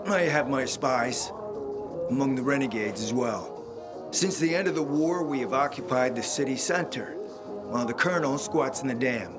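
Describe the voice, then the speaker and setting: An actor playing a suave, scheming middle-aged spymaster in a video game, speaking in a theatrical, smooth, insinuating way. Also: male voice, mid-range voice, expressive, normal speed, close to an everyday voice. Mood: conspiratorial. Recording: loud music behind the voice.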